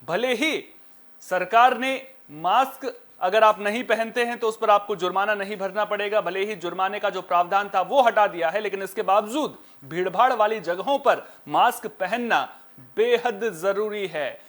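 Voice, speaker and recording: A man's voice: high-pitched, moderate pace, fairly bright tone. A man anchoring a news bulletin, speaking emphatically and often restating his points. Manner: emphatic, repeats and rephrases points.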